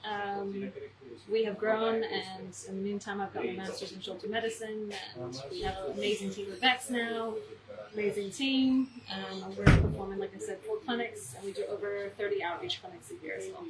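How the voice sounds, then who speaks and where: A woman's voice: medium pitch, moderate pace. An American woman co-founder of an animal welfare charity, answering interview questions earnestly with many ums and restarts. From United States. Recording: echoey, distant mic with loud crowd noise.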